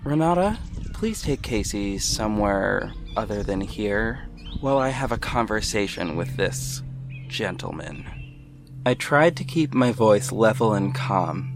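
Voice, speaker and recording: A man playing a protective, self-assured ex-cop detective with a measured, firm delivery in an audio drama. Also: lowish voice, expressive, vocal fry. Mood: narrating, composed. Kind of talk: first-person audio drama narration and dialogue. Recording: music bed behind the voice. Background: music.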